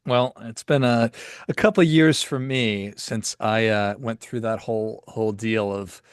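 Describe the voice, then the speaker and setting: A man's voice: on the low side, fairly even intonation, measured pace, smooth, dry recording, clean studio sound. A man hosting a podcast, chatting casually and asking playful, teasing questions. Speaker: podcast host. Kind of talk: casual interview chat.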